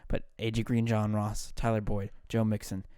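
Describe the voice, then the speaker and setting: Casual podcast co-host, man, smooth resonant voice, hedges and backtracks a lot. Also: on the low side, level tone, moderate pace, mellow, no room sound, studio quality. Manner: hedging, thinks aloud, backtracks. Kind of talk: casual sports chat.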